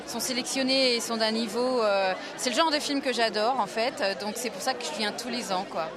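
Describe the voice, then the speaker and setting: Woman, festival-goer, slightly high voice, hesitant, crowd chatter. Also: normal speed. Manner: hesitant, searching for words. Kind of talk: vox-pop answer.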